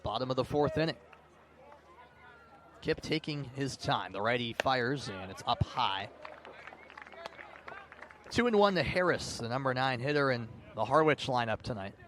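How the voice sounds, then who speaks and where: A man doing live baseball play-by-play commentary, narrating pitch by pitch in short, clipped phrases. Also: lively intonation, normal speed, pauses often, a bit bright, a faint crowd. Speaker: play-by-play announcer. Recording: clear outdoor ballpark background noise. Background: outdoor ambient noise.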